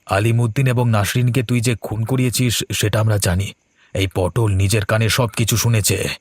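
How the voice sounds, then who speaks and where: A man's voice: on the low side, steady tone, normal speed, breathy edge, dry recording, clean studio sound. A man voicing a bold, earnest village man in a cartoon, speaking urgently and expressively. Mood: confronting. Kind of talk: cartoon story voice acting.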